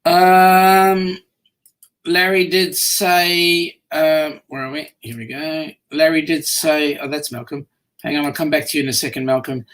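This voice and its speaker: A man hosting a casual live stream, reading viewer comments in a blended South African and Australian accent, relaxed and bantering with frequent fillers. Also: on the high side, unhurried. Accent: South African and Australian mix. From part South African.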